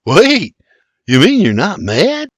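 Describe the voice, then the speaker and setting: A man's voice: sits high, wildly swooping pitch, slow, slightly rough, no echo, clean studio sound, pitched above the performer's own voice, exaggerated voice. Casting: male narrator as bewildered boyish squire, lovestruck and stammering, voice raised and jumpy, storybook romance. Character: William, nervous, lovestruck, boyish young squire. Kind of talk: storybook character dialogue.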